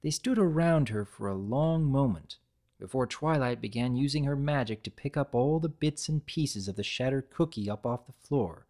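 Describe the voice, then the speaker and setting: A woman's voice: a bass voice, warm tone. A woman narrating a scripted story as a dramatic reading.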